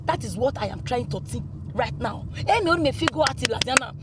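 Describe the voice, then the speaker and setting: A woman's voice: on the high side, lively intonation, fast, lightly acted. A woman with a Nigerian accent playing an agitated, insistent character in a screen drama, repeating herself and slipping into Pidgin. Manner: insistent, repetitive, code-switching with Pidgin. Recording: noisy location sound.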